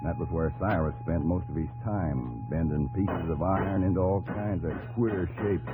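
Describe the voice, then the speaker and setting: Actor as a deep-voiced, folksy American pioneer farmer. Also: male voice, normal speed, loud music behind the voice, lightly acted. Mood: reminiscing. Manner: folksy, drawling. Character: plainspoken, stubborn pioneer farmer recalling his westward journey. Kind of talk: 1950s radio drama acting, narrating in character. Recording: old 1950s radio broadcast recording.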